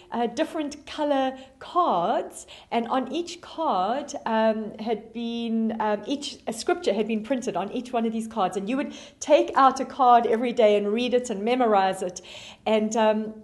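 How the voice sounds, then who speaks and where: A woman with a South African accent preaching a sermon, telling stories between frequent ums. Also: fairly high pitch. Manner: warm storytelling with frequent ums. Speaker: pastor.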